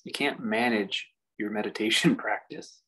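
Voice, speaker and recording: A man leading a guided meditation as a teacher, speaking reflectively and leaving long pauses. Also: lowish voice, a bit bright, breathy edge, low-quality recording.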